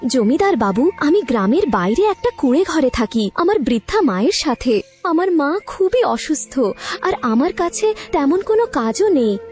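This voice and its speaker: A woman's voice: high voice, sing-song, normal speed, a little mellow, faint music behind. A woman voicing a good-hearted young woman in a cartoon fairy tale, earnest and emotional.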